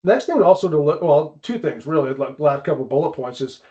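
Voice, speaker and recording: A man's voice: a little high, expressive, a little fast, warm tone. A man hosting a casual explanatory talk, conversational and often checking in with rhetorical questions. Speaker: host presenting tips. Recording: dull, band-limited audio.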